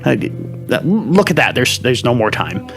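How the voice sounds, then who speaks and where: A man's voice: medium pitch, big pitch swings, normal speed, gravelly, music in the background. A man playing a nervous, self-pitying entertainment reporter who stammers and rambles confessionally on a parody TV newscast. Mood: defeated.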